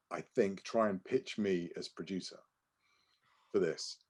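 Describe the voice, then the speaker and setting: A man's voice: low-pitched, husky. A man co-hosting a podcast, telling anecdotes casually and often correcting himself in a hesitant, apologetic way. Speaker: podcast co-host.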